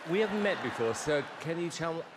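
Brisk male judge, loud crowd behind. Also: a little high, lively intonation. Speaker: talent show judge. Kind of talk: friendly questioning. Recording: loud studio audience and noise.

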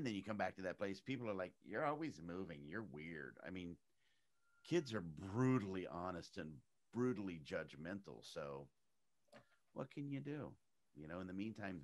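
A middle-aged man with a very low, deep voice, raised in Arizona. He chats casually as an interview guest, telling stories with animation and leaping up in pitch whenever he acts out what other people said. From United States, raised in Arizona.

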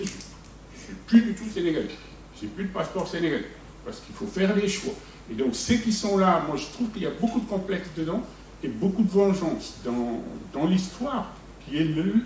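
A man from Senegal giving a blunt, animated personal testimony from the audience at a debate. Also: high voice, moderate pace, slight background noise. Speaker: audience member. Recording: echoey room, mic far off.

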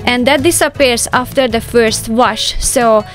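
A middle-aged woman narrating her household chores and cooking in a calm, explanatory voice-over, with slightly non-native phrasing. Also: fairly high pitch, a little slow, soft background music. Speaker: homemaking vlogger.

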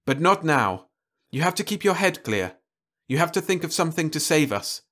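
Male reader as earnest woman astronaut, soft, higher voice, sci-fi storybook. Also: sing-song, normal speed. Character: calm, earnest woman astronaut stranded with husband. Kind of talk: storybook reading.

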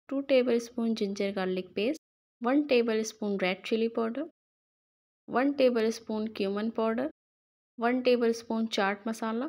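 A woman's voice: on the high side, darkish tone. A woman hosting a cooking channel, talking through a recipe step by step in a friendly, instructive voice-over, mixing in many English words.

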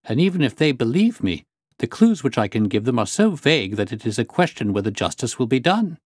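A man's voice: mid-range voice, big pitch swings, a little fast, a little mellow, husky, dry recording, studio quality. A man voicing an agitated, earnest engineer in his twenties for an audiobook story. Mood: relieved, earnest. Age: 20s. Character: agitated young engineer, injured, hearty and earnest. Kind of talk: audiobook character voice.

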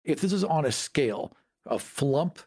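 A man's voice: on the high side, animated, measured pace, a little mellow, slightly rough. A man co-hosting a podcast, chatting casually and off the cuff about tabletop games. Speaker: podcast co-host. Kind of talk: casual chat about tabletop game monsters.

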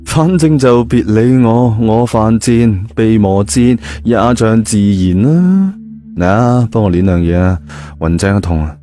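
A cheeky young Cantonese guard, acted by a man, speaks in a low, mellow voice. He is smug and flippant, in audio drama style with music behind. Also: a little slow, natural voice. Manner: teasing, flippant.

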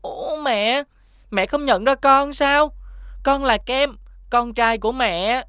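A young boy, sulky, whiny, high pitch. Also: takes it slowly, a bit bright, clean tone, no echo, studio quality. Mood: boyish. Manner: whiny, pleading.

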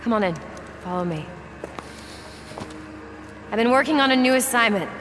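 An actress playing an earnest military scientist and officer in a video game. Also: female voice, fairly high pitch, expressive, moderate pace, noisy background, natural voice. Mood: calm, instructive. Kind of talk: video game cutscene acting. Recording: game cutscene with music behind.

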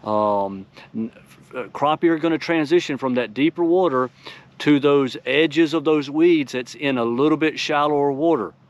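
A man's voice: on the high side, normal speed, dull audio. A middle-aged man hosting a fishing video from a boat on a lake, talking casually with many uhs and ums. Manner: relaxed, filler-heavy explaining with many uhs. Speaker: fishing channel host. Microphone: action camera on the boat.

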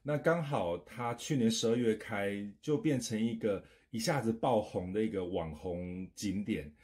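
A man's voice: fairly low pitch, a little slow, darkish tone. A middle-aged man, chatting informally to camera as a travel vlogger in a small office. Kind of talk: casual chat to camera. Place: small indoor home office.

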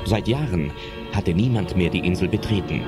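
A man's voice: low voice, lively intonation, normal speed. A man narrating a suspenseful adventure audio drama in scripted storyteller style. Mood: suspenseful storytelling. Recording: loud music under narration.

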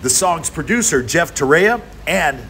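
A man's voice: a little high, lively intonation, a little slow, pushed and bright, slight background noise. A man hosting a travel show, narrating a scripted voice-over in an upbeat, enthusiastic way.

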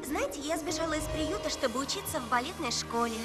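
In an echoey hall with music playing, a high-voiced young orphan girl from a cartoon. She is eager and naive, chattering and blurting questions. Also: expressive, fast talker. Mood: eager, curious. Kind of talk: animated film dub acting.

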